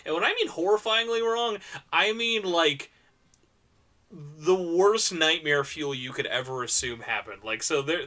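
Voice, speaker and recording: A man's voice: fairly high pitch, sharp tone. A man giving an enthusiastic, informal panel talk full of recommendations, stressing points by repeating words and using casual slang. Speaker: convention panel presenter.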